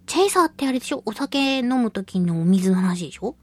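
A woman hosting a casual solo radio talk show, reading listener mail in a playful, giggly, cutesy way with a husky, breathy voice. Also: medium pitch, lively intonation, quick pace, no room sound. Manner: playful, giggly, slips into cutesy exclamations and quirky old-man phrasing. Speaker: radio show host, voice actress.

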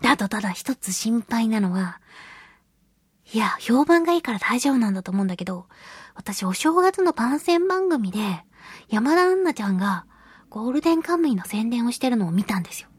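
Female radio host, higher-pitched and airy, giggly casual chat, dry studio sound. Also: fairly quick, husky, dry recording. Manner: chatty, giggly, expressive. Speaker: radio show host, voice actress. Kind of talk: casual solo radio talk, reading listener mail.

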